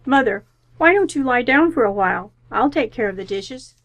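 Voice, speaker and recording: A woman's voice: fairly high pitch, animated, normal speed, noisy background. A woman playing a helpful, dutiful teenage daughter in an old-time radio family comedy, slightly muffled in the recording.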